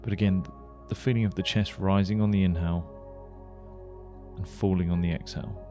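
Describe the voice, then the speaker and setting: A man leading a guided meditation, giving gentle, unhurried instructions with pauses. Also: low voice, steady tone. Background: music.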